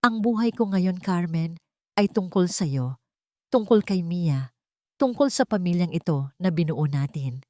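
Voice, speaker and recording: A woman's voice: on the low side, lively intonation, unhurried, a little mellow, no echo, studio quality. Woman reading as a tender, devoted man in love. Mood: tender, reflective. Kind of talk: dialogue inside a narrated romance story.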